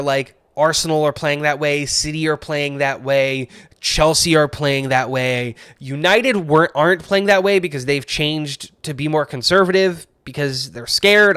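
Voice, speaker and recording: Animated, opinionated man, fairly high voice. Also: smooth, no room sound. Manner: animated, opinionated, fast bursts with many fillers. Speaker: podcast co-host. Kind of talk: casual sports podcast chat.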